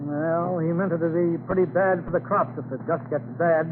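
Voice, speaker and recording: An actor playing a steady, worried farmer father in an old-time radio drama, reassuring his family through hard times. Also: on the high side, normal speed, close to an everyday voice. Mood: plain-spoken, reassuring. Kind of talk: 1940s radio drama acting. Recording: scratchy tinny old radio recording.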